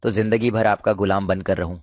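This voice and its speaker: A man's voice: fairly low pitch, fairly even intonation, brisk, a little mellow, no echo, clean studio sound, lightly acted. A man voicing a blunt, headstrong younger brother in a cartoon, an uncle who turns loving. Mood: defiant. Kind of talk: cartoon voice acting.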